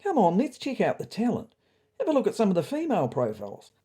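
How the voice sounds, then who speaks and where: A blunt, streetwise tomcat acted out by a woman for a comic storybook. The voice is husky and mellow, with a mocking, scoffing edge to the delivery. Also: medium pitch, wildly swooping pitch, quick pace. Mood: blunt, impatient. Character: blunt, scoffing, streetwise male pet cat.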